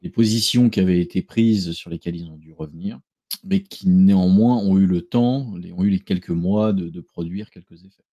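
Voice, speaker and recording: A man speaking as a guest in a casual political discussion, measured and explanatory, with ironic asides. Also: sits low, dark tone, muffled recording. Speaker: guest commentator.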